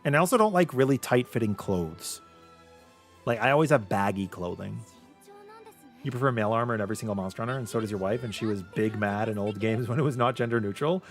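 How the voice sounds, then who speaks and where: A man with a light voice, often taken for a girl's, chatting casually with viewers as a VTuber streamer, animated, with quick laughs. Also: on the low side, faint music behind. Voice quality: light voice he says is mistaken for a girl's. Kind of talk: casual livestream chat with viewers.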